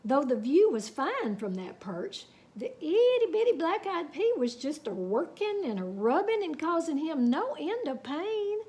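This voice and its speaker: A woman reading a children's story aloud in an expressive, folksy, dramatic way with chatty asides. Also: sits high, unhurried, hardly any pauses.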